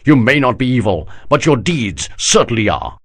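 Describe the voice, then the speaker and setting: A male narrator plays a proud army general in an audiobook, scornful and unbending. The voice is husky with a lively, swinging pitch. He speaks with flat certainty. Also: normal speed. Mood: stern. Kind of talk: audiobook character voice.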